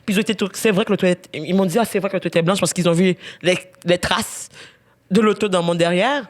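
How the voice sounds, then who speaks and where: A young woman with a Quebec French accent, co-hosting a podcast as a lively storyteller who laughs often. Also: on the low side, fast. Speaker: podcast co-host. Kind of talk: casual chat.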